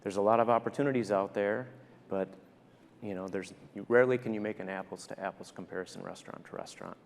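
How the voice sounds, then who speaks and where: A man's voice: fairly low pitch, fairly even intonation, fast talker, gravelly. An American man in his thirties or forties answering conversationally as a panelist, wry and understated, on a lapel mic on a large stage. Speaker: panelist, restaurant operations director. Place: large indoor conference stage. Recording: echoey auditorium, faint background noise.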